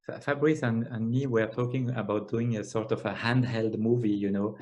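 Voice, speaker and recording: Swiss guy w heavy french accent, lower voice, lots of uhs, excited. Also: moderate pace. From Switzerland. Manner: hesitant, many uh fillers, enthusiastic. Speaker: panel guest. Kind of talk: casual video call panel.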